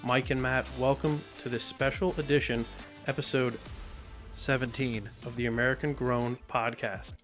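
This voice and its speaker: A man hosting a podcast in a studio, relaxed and jokey as he chats with his guests. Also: mid-range voice, moderate pace, soft background music. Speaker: podcast host. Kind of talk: casual podcast chat. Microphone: studio mic.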